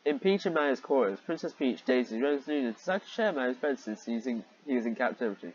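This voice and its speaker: A man's voice: medium pitch, lively intonation, a little fast. Exasperated, sarcastic man on a muffled mic. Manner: exasperated, sarcastic, sighing asides; frequent throat clearing. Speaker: host and reader of fan fiction. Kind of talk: read-aloud of fan fiction with sardonic commentary. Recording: dull, muffled lo-fi microphone.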